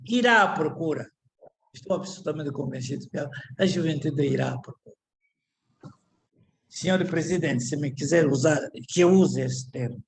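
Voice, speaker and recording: Older Angolan man, halting lecture. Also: fairly high pitch, creaky, slight background noise, poor audio. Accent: Angolan Portuguese. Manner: halting, many restarts and repeated words. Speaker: writer giving a lecture. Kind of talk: reflective lecture.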